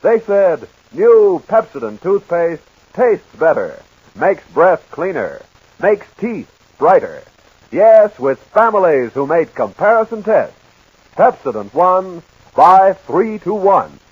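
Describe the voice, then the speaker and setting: A man's voice: high-pitched, sing-song, slow, slightly rough, no room sound, dull audio. A man reading a 1940s radio commercial in a punchy, salesy way. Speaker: commercial announcer.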